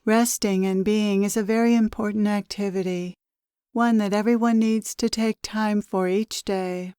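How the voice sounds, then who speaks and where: Slow, smooth-voiced woman guiding meditation. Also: medium pitch, dry recording, clean studio sound. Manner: soothing, measured, gently instructive with pauses. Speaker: meditation guide, spiritual channeler. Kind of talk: guided meditation, channeled spiritual teaching.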